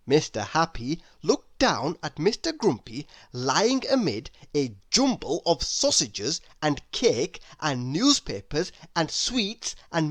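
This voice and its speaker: A woman reads a children's story aloud with very animated intonation. She takes her time, and the recording is dry and close. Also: fairly low pitch, dry recording. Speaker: storybook reader.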